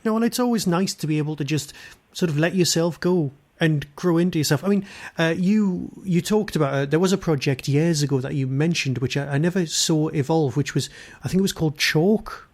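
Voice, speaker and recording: A man's voice: on the high side, brisk, a little mellow. A man hosting an interview, asking long, casual, conversational questions. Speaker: podcast host, interviewer.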